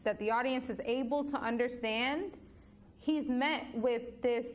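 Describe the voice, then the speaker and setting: A woman's voice: fairly high pitch, measured pace. A young woman, a student news correspondent, earnestly building her points in a casual panel discussion, often repeating words as she goes. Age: young adult.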